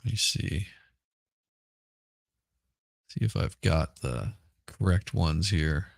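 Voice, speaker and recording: A middle-aged man chatting casually as a podcast host, hoarse and rambling, often repeating words and restarting. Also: low voice, slow pace, no room sound, clean studio sound. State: hoarse from smoke. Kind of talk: casual livestream chat.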